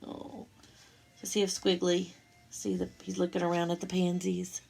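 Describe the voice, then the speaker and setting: A woman reading a picture book aloud to young children, with expressive, simple asides as she goes. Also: lowish voice, moderate pace, creaky, breathy edge, soft background music. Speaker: storytime reader for young children.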